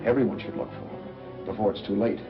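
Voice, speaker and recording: An actor playing a smooth, calculating fugitive who feigns innocence, in 1940s film noir style. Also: male voice, lowish voice, fairly even intonation, a little fast, close to an everyday voice. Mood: feigned concern. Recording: dull old film soundtrack with music.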